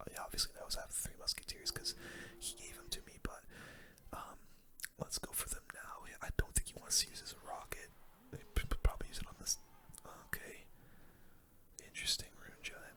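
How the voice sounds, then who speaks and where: A person whispering casual, slangy ASMR game commentary in a breathy, unvoiced voice close to the microphone. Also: very high voice. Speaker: gaming video host.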